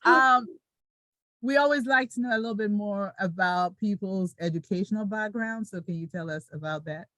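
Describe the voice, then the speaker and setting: A woman's voice: mid-range voice, moderate pace, a bit bright, no room sound, rough recording. A woman hosting an interview, friendly and playful, laughing often and teasing her guest. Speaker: podcast host.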